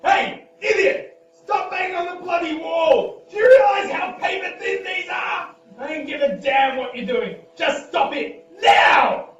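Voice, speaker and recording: A man playing a high-strung, irate neighbour shouting furiously through a wall in an audio play, muffled and echoing. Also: measured pace, distant mic, some chatter behind, a little hiss or hum.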